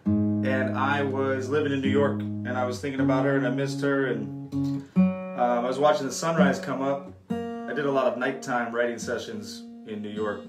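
A man in his thirties, a singer-songwriter, talking about his songs in a relaxed, soft-spoken way with many fillers, recorded on a phone at home. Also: mid-range voice, reverberant. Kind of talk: live acoustic performance with spoken song introductions. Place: home room indoors. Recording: loud acoustic guitar under the voice. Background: his own acoustic guitar.

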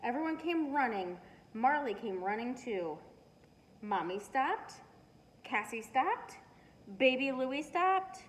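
A middle-aged woman reading a children's storybook aloud with expressive, emphatic storytelling. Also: sits high, slow. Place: living room, indoors. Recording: noisy living room recording.

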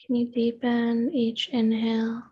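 A woman therapist leading a guided meditation in a gentle, unhurried manner, with pauses and open questions. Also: a little high, monotone, husky, dull audio.

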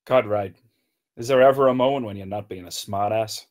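A man's voice: lowish voice, a little fast, a little mellow, natural voice. A man reading the part of a stubborn, defensive small-time crook in a screenplay table read. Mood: wry.

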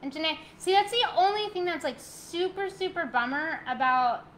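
Bright-voiced woman teaching yoga at home. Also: very high-pitched, animated, a little hiss or hum. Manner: soft, slow guided cues, then bubbly rambling chat. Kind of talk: live-streamed yoga class, chatting with viewers. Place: living room at home, indoors. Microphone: laptop mic, webcam framing.

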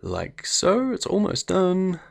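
A man with a New Zealand accent and a slightly croaky voice, chatting casually as he thinks aloud through a game. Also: high voice, huge pitch swings, slow. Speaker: gameplay video host. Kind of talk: casual let's play commentary.

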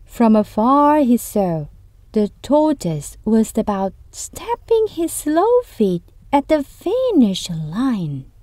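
A woman narrating a children's story from a script, reading deliberately phrase by phrase. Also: fairly high pitch, big pitch swings, dark tone, dry recording.

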